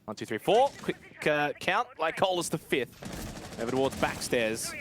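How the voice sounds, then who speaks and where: A man's voice: fairly high pitch, big pitch swings, normal speed, noisy background. A man giving live play-by-play commentary on an esports match as a caster.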